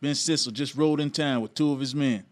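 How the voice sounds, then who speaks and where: Man playing a folksy, excitable western sidekick. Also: medium pitch, brisk, lightly acted. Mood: excited. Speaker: actor in a radio theater. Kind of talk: western radio drama acting.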